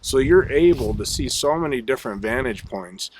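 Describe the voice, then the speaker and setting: A man's voice: mid-range voice, expressive, moderate pace, crowd noise. A man presenting a hunting video outdoors, talking to the camera in a casual, conversational way. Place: outdoors on a snowy mountain.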